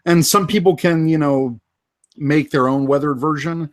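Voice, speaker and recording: A man co-hosting a live fan podcast, casually going through toy and movie news with many pauses and fillers. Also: on the high side, fairly even intonation. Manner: unhurried, lots of uh fillers. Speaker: podcast co-host.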